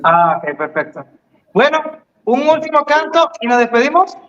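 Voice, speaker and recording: Male co-host, very high register, informal live presenting, muffled lo-fi sound. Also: very animated, moderate pace, a bit bright, a little hiss or hum. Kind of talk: casual live hosting.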